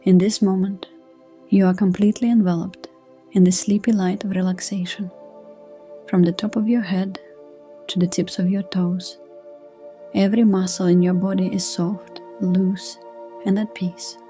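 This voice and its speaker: A woman reading a scripted sleep hypnosis session in a gentle, hushed, soothing manner. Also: medium pitch, little pitch movement, normal speed, pauses often. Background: soft new-age music.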